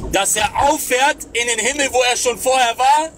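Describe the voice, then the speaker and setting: A man in his thirties hosts a phone livestream, his voice sitting high as he mocks and reads from the chat. The delivery is sarcastic and scornful. A steady layer of noise sits under the whole stream. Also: moderate pace. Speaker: livestream host. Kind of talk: mocking livestream commentary. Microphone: phone camera close up.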